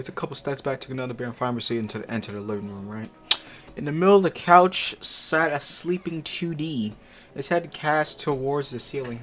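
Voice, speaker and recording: A man doing a casual read-aloud of amateur fiction, breaking off for slangy, profane, incredulous commentary. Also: medium pitch. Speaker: amateur fanfic reader and commentator. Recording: music behind the voice. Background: music.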